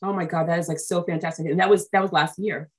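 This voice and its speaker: A woman podcast host from a Haitian-American family, talking in a chatty, effusive way full of likes and ums. Her voice sits a little low, and she sounds warm with her guest. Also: steady tone, quick pace. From United States, Haitian family. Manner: effusive, chatty, lots of fillers. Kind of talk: casual interview chat.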